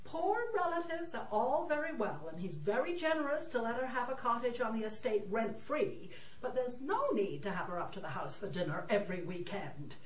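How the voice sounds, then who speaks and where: A woman's voice: fairly high pitch, lively intonation, moderate pace, slight background noise, close to an everyday voice, low-quality recording. An actress playing a fussy, snobbish middle-aged society mother in a radio drama, with an imperious, disapproving, clucking manner. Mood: dismissive. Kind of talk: BBC radio drama acting.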